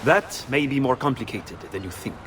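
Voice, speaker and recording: A man's voice: medium pitch, expressive, moderate pace, natural voice. Man as grave Italian advisor, noisy background. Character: shrewd grave male Italian conspirator and advisor. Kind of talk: video game cutscene acting. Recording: outdoor ambience noise behind.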